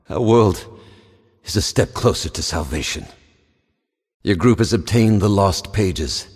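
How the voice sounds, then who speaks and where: A man's voice: fairly low pitch, a little mellow. A male actor playing a grave, scholarly professor who narrates a video game in a solemn, formal way. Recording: long echoing reverb.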